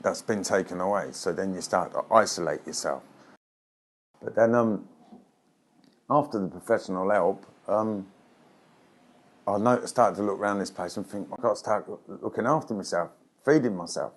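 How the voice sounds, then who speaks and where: A middle-aged man talking frankly in an interview, often adding "yeah?", recorded indoors in his flat. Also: fairly low pitch, normal speed, long pauses. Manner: frank, streetwise, frequent "yeah?" tags. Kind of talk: candid interview.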